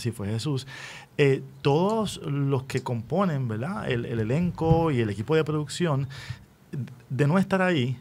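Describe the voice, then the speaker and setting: Male radio interviewer, Puerto Rican accent, mid-range voice, conversational, checks in often with tag questions. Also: mid-range voice.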